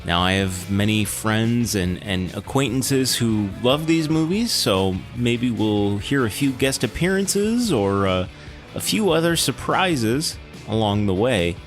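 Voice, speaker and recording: Guy hosting a movie podcast, lowish voice, wry and jokey, just chatting. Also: lively intonation, slow pace, faint music behind. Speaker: podcast host. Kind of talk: casual film discussion and show announcements.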